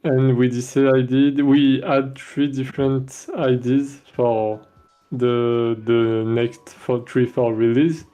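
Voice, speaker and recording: A man's voice: medium pitch, flat delivery, slow, mellow, faint music behind, poor audio. A man with a French accent, a game developer chatting casually on a stream, hesitating often with many fillers and restarts. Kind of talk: casual dev stream chat.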